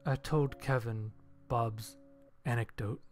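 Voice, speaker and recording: A man's voice: mid-range voice, steady tone, slow. A man narrating a scripted first-person story and acting out his own lines of dialogue. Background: background music.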